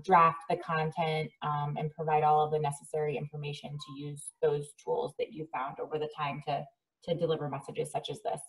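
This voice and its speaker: A woman with a fairly low, very even voice explains plans in a measured, procedural manner. She is on a remote meeting call and sounds some way from the microphone. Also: far from the mic, poor audio. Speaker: waste agency staff member. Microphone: phone or video call.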